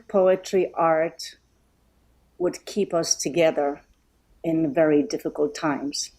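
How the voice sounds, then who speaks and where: A middle-aged woman from Poland giving a heartfelt thank-you speech over a video call, pausing often with um and uh. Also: lowish voice, low-quality recording. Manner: warm and grateful, many um and uh pauses. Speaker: event guest speaker.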